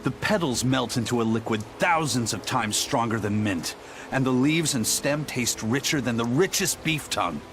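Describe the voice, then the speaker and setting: Um ator interpretando um capitão pirata severo e orgulhoso, de fala firme e professoral. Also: male voice, mid-range voice, expressive, normal speed, background noise, close to an everyday voice. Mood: stern. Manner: stern, lecturing. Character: stern proud male pirate captain. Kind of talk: game dub acting.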